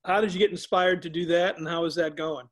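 Higher-voiced male interviewer. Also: fairly even intonation, brisk. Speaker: interviewer host. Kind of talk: interview questions.

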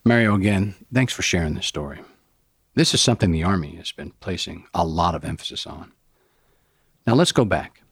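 A male host asking an interview guest questions in a measured, composed way. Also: low-pitched, lively intonation, husky, no echo, clean studio sound. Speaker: podcast host.

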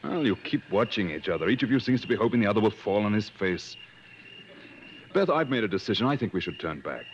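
An actor playing a reasonable, gently teasing gentleman explorer, measured and genteel, in 1950s radio drama style. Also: on the low side, sing-song, slight background noise. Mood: gently coaxing. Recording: muffled old radio broadcast with music.

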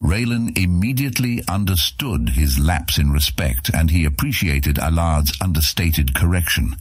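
A man reads scripted fantasy fiction as an audiobook narrator. His voice is deep and husky. The sound is very dry, with no room to it. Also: expressive, moderate pace, dry recording.

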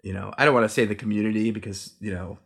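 A man's voice: fairly low pitch, fast, creaky. A man talking casually as a podcast guest about sound design, rambling a little with many restarts. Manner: relaxed, rambling with frequent restarts. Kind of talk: casual chat.

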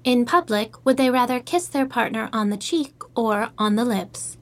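A woman's voice: fairly high pitch, normal speed, lightly acted. A woman playing a cheerful artificial intelligence matchmaking host in an audio drama, speaking in scripted, upbeat stock phrases. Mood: cheerful, polite.

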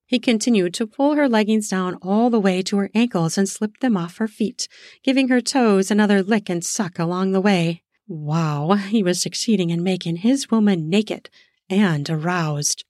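A woman narrating an erotic audio story in a slow, sensual way, lingering on words for emphasis. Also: medium pitch, darkish tone, no room sound, studio quality. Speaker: storyteller, podcast host.

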